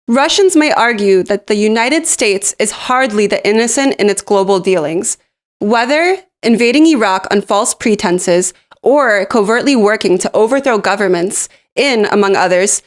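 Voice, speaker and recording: A woman's voice: medium pitch, lively intonation, measured pace. A woman co-hosting a political show in a scripted back-and-forth commentary.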